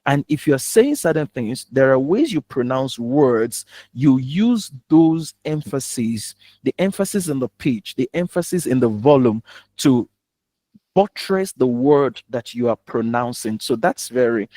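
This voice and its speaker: A Nigerian man speaks as a public speaking coach in a live lesson. His delivery is emphatic and instructive, with points pressed home through rhetorical questions. His voice sits at an ordinary male pitch, and the sound is dry. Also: lively intonation, measured pace, warm tone, no room sound.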